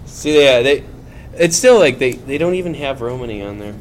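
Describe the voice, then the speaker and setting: A man's voice: mid-range voice, expressive, moderate pace, slight background noise. A man on a comedy panel, cracking dry jokes. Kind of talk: casual comedic chat.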